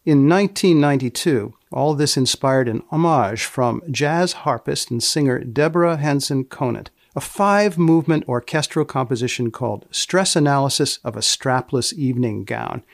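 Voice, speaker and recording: A man hosting a podcast with deliberate, measured phrasing, moving between scripted narration and interview questions. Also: mid-range voice, creaky, no echo, studio quality. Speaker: podcast host.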